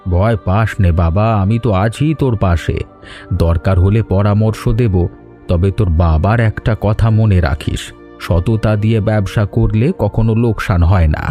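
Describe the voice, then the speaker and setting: An elderly grandfather from an animated story, voiced by a man, speaks low and mellow. He is caring and gives reassuring advice over faint music. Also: a little slow, lightly acted. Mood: solemn, reassuring. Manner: reassuring, advising.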